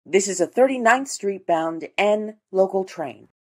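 A woman reading scripted subway announcements in a formal, measured way, in segmented phrases. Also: mid-range voice, animated, a little mellow, breathy edge.

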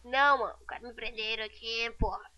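An amateur dubber with a Brazilian accent doing a comic sitcom redub in excitable, slangy, insistent delivery. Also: medium pitch, fast, lo-fi sound.

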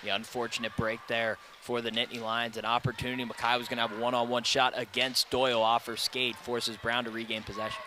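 A man giving relaxed, analytical hockey color commentary from an arena booth on a headset mic, often opening with "yeah". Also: medium pitch, fast talker, hardly any pauses, slight background noise.

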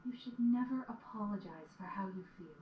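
A woman playing a regal, understanding pony princess mentor in a cartoon audio drama, speaking in a measured, formal way. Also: medium pitch, natural voice. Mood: reassuring. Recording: muffled, roomy playback with background noise.

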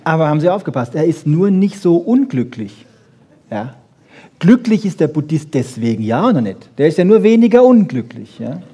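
Guy doing a pop science lecture, kinda high but mellow n husky, dry humor, keeps asking questions. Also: sing-song, normal speed. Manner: wry humour, rhetorical questions, frequent "ja?" tags. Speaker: lecturer on brain research. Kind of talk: lively popular science lecture to an audience.

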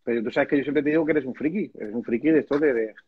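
A slightly husky man from banters on a home live stream. He teases his friend with mock-serious jabs and reads comments aloud. The audio is lo-fi and somewhat dull, as if through a phone or laptop. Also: mid-range voice, quick pace. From Madrid, Spain. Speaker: percussionist, live stream co-host. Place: indoors, small room at home. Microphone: phone or laptop camera, video call.

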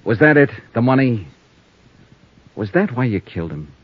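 An actor with an American accent playing a shrewd, world-weary insurance investigator with clipped, hardboiled delivery in 1950s radio style. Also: male voice, medium pitch, very animated, normal speed, breathy edge. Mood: cool, accusing. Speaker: lead actor of a radio detective serial. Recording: muffled old radio broadcast.

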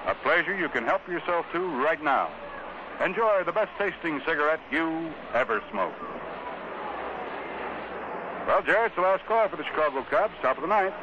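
A man's voice: a little high, lively intonation, normal speed, pauses often, muffled recording. Noisy 1950s radio ad man, American. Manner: folksy, punchy salesman phrasing. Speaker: radio announcer reading sponsor spots. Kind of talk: 1950s radio advertising pitch.

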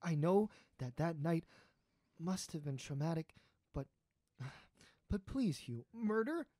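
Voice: medium pitch, lively intonation, slow, mellow, dry recording, studio quality. An actor playing an earnest, hesitant astronaut trying to win back an old friend in an audio drama. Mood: pleading, remorseful.

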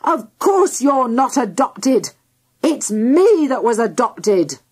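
A woman's voice: high-pitched, very animated, measured pace, darkish tone, no room sound, studio quality, pitched above the performer's own voice, full character voice. A woman voicing a harassed father at his wits' end in a children's story, exasperated and exclaiming. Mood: yelling.

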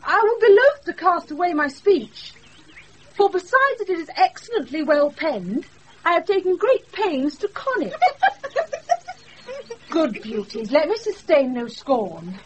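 A British actress playing a quick-witted young woman disguised as a saucy page boy in a Shakespeare radio play, recorded off a wireless. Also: extremely high pitch, big pitch swings, slight background noise, exaggerated voice, low-quality recording. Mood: playful, flattering. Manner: saucy, theatrical, breaking into laughter.

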